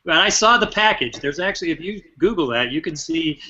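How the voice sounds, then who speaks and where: A man's voice: on the high side, animated, fairly quick, bright, forceful tone, breathy edge. An American man hosting a show, chatting playfully as he runs a quiz with a guest, on a slightly dull recording. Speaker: show host and quizmaster. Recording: dull, band-limited sound.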